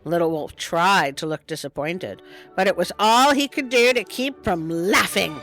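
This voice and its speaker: A woman reading a children's story aloud in a playful, expressive way, with chatty asides. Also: lowish voice, measured pace, faint music behind. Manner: playful, expressive, self-interrupting. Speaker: storytime host and reader.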